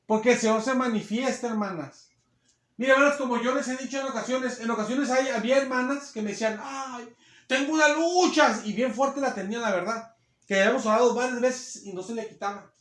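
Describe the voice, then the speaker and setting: A man's voice: very high-pitched, fairly quick, a bit bright, echoey room. A man preaching a sermon, with a strong voice that he holds back and then lets rise into emphatic bursts. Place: church.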